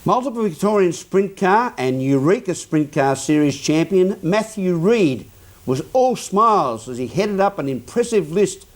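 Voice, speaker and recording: An Australian man presenting local TV news, reading story introductions in a measured way. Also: high voice, expressive.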